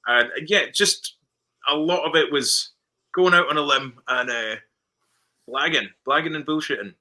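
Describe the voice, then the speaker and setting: Scottish guy, super bright voice, chatty director telling stories in an interview, repeats himself a lot. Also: fairly high pitch, moderate pace. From Scotland. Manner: chatty storyteller who repeats words and acts out dialogue. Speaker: film director guest. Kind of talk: casual interview chat.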